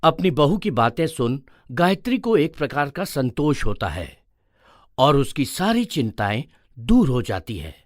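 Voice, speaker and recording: A man's voice: medium pitch, very animated, a little slow, dry recording, clean studio sound. A man narrating a scripted family story as its storyteller.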